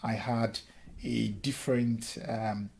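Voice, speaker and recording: A man's voice: mid-range voice, flat delivery, slow, gravelly, darkish tone, breathy edge. A middle-aged man from Nigeria with a Nigerian accent, speaking hesitantly with many ums and restarts in an oral history interview. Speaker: interviewee, lecturer and councillor.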